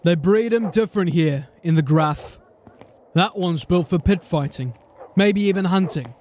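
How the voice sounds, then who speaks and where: High-pitched, shrewd watch sergeant. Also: male voice, unhurried, darkish tone, slight background noise. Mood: steady, instructing. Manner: probing, matter-of-fact. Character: gruff, shrewd male city watch sergeant. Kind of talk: fantasy audio drama acting.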